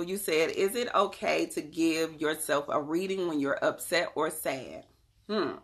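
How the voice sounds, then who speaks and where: A woman's voice: deep voice, creaky, fairly bright tone. A woman from North Carolina with a Southern accent, giving blunt, emphatic motivational talk and answering chat messages on a casual livestream. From Charlotte, North Carolina. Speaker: livestream host and tarot reader.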